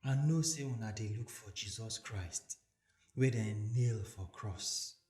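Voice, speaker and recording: A Nigerian man voicing a calm, reassuring angel in a scripture story, soft and solemn. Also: lowish voice, a little slow, pitched below the performer's own voice, lightly acted. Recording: echoey voice recording with music.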